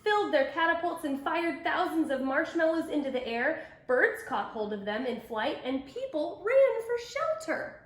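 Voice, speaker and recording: Woman, high-pitched voice, echoey room, children's read-aloud, expressive storyteller. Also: normal speed. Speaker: storybook reader. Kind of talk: children's picture book read-aloud with asides to young listeners.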